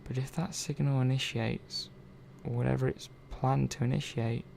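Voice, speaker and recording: Male technician, acted by a man, soft ASMR drama, heavy creak, mellow tone, halting and quietly protective. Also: medium pitch, steady tone, a little hiss or hum. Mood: worried, protective. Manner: hesitant, halting, trailing off mid-sentence. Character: earnest, hesitant male robot repair technician, quietly protective. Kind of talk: soft-spoken ASMR roleplay.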